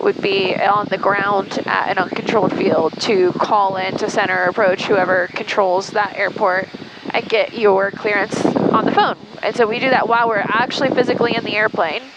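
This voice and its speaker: Lady flight instructor on headset in a small plane, calm and encouraging, engine roar behind. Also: mid-range voice, lo-fi sound. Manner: encouraging, explanatory. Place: small airplane cockpit in flight. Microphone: aviation headset. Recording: noisy cockpit headset audio. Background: engine and wind noise.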